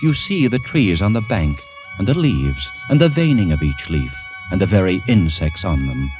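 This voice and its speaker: Low, dramatic radio narrator over music. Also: male voice, very animated. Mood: grave, suspenseful. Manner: deliberate, dramatic, intense. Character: grave second-person narrator of a condemned man's ordeal. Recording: vintage radio, dull, loud music behind.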